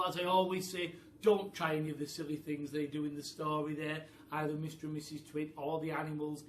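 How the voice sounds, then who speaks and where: A British man tells a story for children in an expressive, teacherly way. He speaks to listeners directly. The sound is echoey and slightly distant, with light background noise. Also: fairly high pitch. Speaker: primary school teacher, storyteller. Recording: echoey room, distant mic, faint noise.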